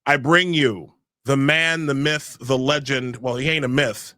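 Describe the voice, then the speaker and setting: A man's voice: medium pitch, measured pace, sharp tone, dry recording, studio quality. A young adult man hosting a live podcast, speaking energetically with frequent filler sounds as he runs announcements and introductions. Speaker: show host.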